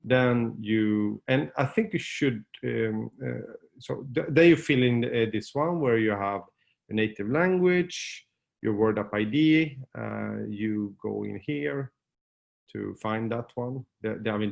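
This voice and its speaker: A man whose first language is Swedish, hosting a webinar and walking viewers through steps in a loose, chatty way with many pauses and restarts. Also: fairly low pitch, vocal fry. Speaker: webinar host. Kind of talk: casual screen-share webinar walkthrough.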